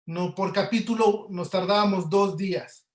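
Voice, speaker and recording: A man's voice: high voice, steady tone, a little slow. A man with a Mexican Spanish accent giving casual, explanatory interview answers through everyday examples, as a guest audio producer. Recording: roomy, echoey webinar audio.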